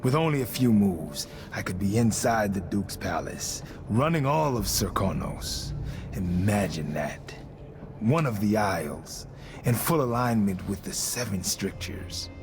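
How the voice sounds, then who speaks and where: An actor playing a stern, ambitious vice overseer of a religious order in a video game, measured and politely menacing. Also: male voice, fairly low pitch, lively intonation, music in the background. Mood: calculating, grandiose. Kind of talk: dramatic video game dialogue.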